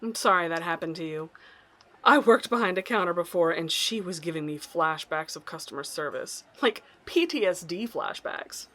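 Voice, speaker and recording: A woman playing a bold, sharp-tongued mystery woman in an audio drama, blunt and self-possessed. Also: fairly low pitch, moderate pace. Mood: polite.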